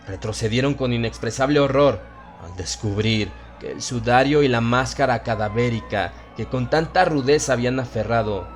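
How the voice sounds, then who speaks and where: Low voiced latin american guy reading a horror story, solemn n theatrical, music behind him. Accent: Latin American Spanish. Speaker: audiobook narrator. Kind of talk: dramatized scripted horror narration. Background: background music.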